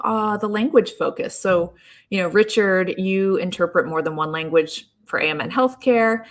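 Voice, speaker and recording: Lower-voiced woman hosting a live Q&A. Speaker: show host.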